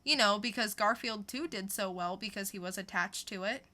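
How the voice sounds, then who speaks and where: Bright, crisp-edged sarcastic woman co-host. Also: medium pitch, normal speed. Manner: dry, sarcastic punchlines. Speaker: podcast co-host. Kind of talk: scripted comedic pop-culture news delivery.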